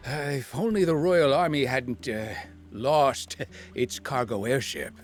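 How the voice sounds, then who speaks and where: A man's voice: medium pitch, slow pace, soft background music, close to an everyday voice. A man playing a bumbling, well-meaning and sheepish character in a video game, hesitant in his speech. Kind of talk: video game cutscene acting.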